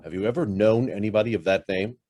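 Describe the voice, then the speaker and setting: A man's voice: lowish voice, quick pace, darkish tone, close to an everyday voice. An actor playing a curt, demanding middle-aged businessman who is suspicious and hiding something, in a radio drama. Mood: uneasy, probing. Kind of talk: stage-style radio drama acting.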